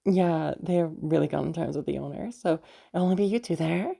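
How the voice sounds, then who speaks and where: A woman's voice: low-pitched, brisk, vocal fry, dark tone, heavily acted. A woman voicing a sweet, bubbly, nervous young woman chatting in a roleplay audio drama, hesitant, giggly and stammering. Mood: cheerful, flustered. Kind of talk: roleplay audio drama, one side of a phone chat.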